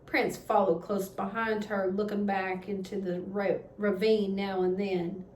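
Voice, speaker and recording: A woman reading a children's storybook aloud, deliberate and a little halting, repeating words. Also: mid-range voice, level tone, a little mellow, distant mic. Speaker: read-aloud reader. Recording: echoey room with background noise.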